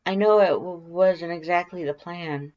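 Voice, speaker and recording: A narrator voicing a timid, awkward female monster scientist with a nervous, halting stammer in a story reading. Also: mid-range voice, a little mellow, muffled recording, voice pushed up, close to an everyday voice. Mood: nervous, hesitant.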